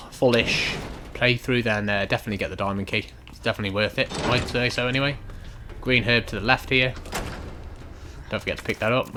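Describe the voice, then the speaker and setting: A man giving unhurried, instructional commentary over a video game walkthrough in a casual way. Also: medium pitch, sharp tone, a little hiss or hum. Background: video game ambience.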